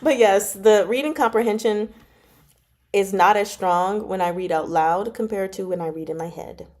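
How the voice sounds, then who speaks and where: A woman's voice: mid-range voice, normal speed, a little mellow. A woman hosting a casual livestream from home, chatting informally and giggly as she reads and answers viewer comments. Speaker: livestream host reading chapters aloud and chatting with viewers.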